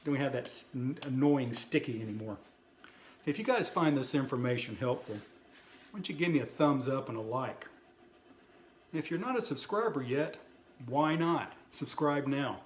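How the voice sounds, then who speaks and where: A man's voice: mid-range voice, animated, normal speed. A man hosting a casual video review, talking to viewers in a plainspoken, folksy way. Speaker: host of a prepper video channel. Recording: roomy echo, light background noise.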